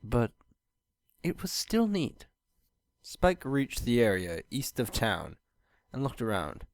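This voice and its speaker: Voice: mid-range voice, sing-song, slow, no room sound. A narrator reading a scripted fan fiction story aloud.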